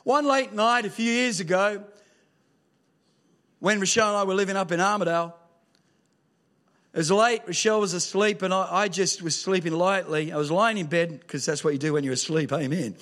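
A man preaching a sermon in a church, mixing scripture with humorous stories and sometimes rising to a shout. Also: high-pitched, normal speed. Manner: lively storytelling with humour, rising to shouts. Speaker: pastor. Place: church hall with screen.

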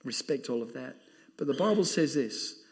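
A man with an Australian accent and a loud, booming voice, preaching an emphatic sermon to a church congregation. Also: lively intonation, normal speed, breathy edge. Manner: emphatic, laughing, exclaiming amen. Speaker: pastor.

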